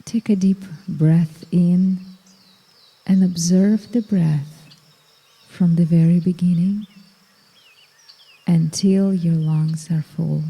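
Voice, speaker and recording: A woman guiding a meditation in a slow, soft, soothing way, with long pauses between phrases. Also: lowish voice, steady tone, breathy edge, big-room sound. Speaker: meditation guide. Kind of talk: guided meditation.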